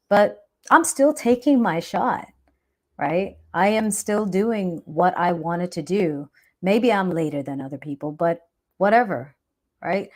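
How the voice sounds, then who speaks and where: Earnest low-voiced woman hosting a live chat. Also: darkish tone. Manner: earnest, reflective, keeps adding "right?". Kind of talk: motivational live stream talk.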